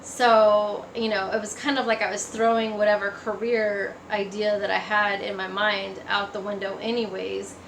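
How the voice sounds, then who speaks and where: A woman's voice: medium pitch, flat delivery, moderate pace, creaky, few pauses, fairly bright tone. A woman giving a personal testimony in a casual, conversational storytelling way. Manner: conversational, acts out remembered remarks. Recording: echoey room, faint background noise.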